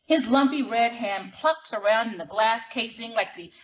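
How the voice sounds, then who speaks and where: A woman reading literary fiction dramatically, in measured, deliberate phrases with weighted pauses. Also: on the high side, highs cut off. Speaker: dramatic reader at a library performance. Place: live reading at a library event.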